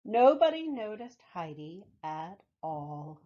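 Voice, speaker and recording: A woman reading a picture book aloud for young children, with expressive, deliberate phrasing, as a library storytime reader. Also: on the low side, muffled recording.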